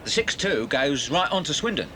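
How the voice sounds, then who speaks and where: This is a relaxed working man in a story recording. His voice is bright and fairly high. He answers loosely, full of "oh" and "uh". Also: normal speed, slight background noise, low-quality recording. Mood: offhand. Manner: offhand, hesitant fillers, chuckling. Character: easygoing adult male railway worker, offhand. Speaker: railway worker. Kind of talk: radio drama acting.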